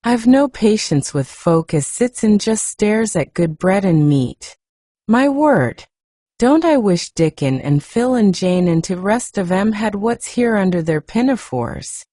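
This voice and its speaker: A woman acting out a young Yorkshire housemaid from a storybook, homely, talkative and plain-spoken. Her voice is mellow and swings freely up and down, recorded close and dry. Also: medium pitch, expressive, unhurried, dry recording. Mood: indignant, outspoken. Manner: chatty, homely, forthright.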